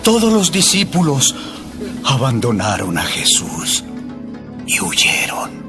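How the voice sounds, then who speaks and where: A man's voice: medium pitch, huge pitch swings, slow pace, music in the background. A man narrating a dramatized Bible audiobook in a dramatic storytelling manner.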